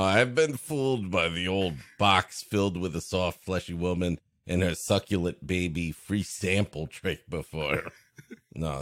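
A man's voice: sits low, a bit bright, no room sound, studio quality. A man co-hosting a comedy podcast, riffing casually with joking interjections.

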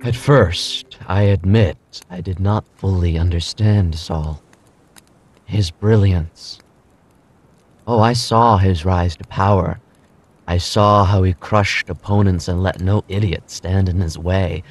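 An actor playing a ruthless, loyal follower in an audio drama, brooding and deliberate, with emphatic pauses. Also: male voice, deep voice, steady tone. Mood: admiring, intense. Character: ruthless, loyal male follower recounting a strongman's rise.

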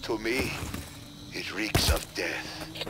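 Casting: grave, wary horror-game man, dramatic and unhurried, loud music underneath. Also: fairly low pitch. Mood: grim, wary. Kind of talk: dramatic video game dialogue.

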